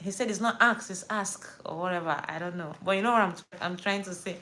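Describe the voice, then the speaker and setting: A woman from Nigeria with a Nigerian accent, chatting casually on a live stream from home. She tells stories in an animated, chatty way and drops pidgin slang. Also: lowish voice, fairly quick. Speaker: livestream host.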